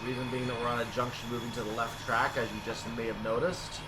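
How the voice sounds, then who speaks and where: A man with a mid-pitched voice narrates a game in a casual, explanatory way. He thinks aloud as he plays. A clear layer of ambient noise and rumble sits behind his voice. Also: level tone, fast. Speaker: gaming video creator narrating train simulator play. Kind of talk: casual gameplay commentary.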